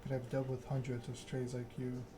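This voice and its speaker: Man playing suave male vampire enforcer for a story game: calm threat, bored monotone, noisy ambient backdrop. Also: medium pitch, normal speed, close to an everyday voice. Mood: calm menace. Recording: clear background ambience noise.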